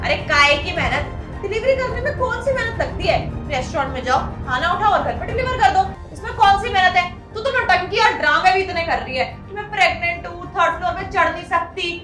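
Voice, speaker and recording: A woman's voice: very high voice, expressive, fairly quick. A young woman playing a haughty, cruel customer in a melodramatic skit, scolding with contempt. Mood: mocking, contemptuous. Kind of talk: melodramatic scripted Hindi skit acting. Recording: roomy echo, faint music behind.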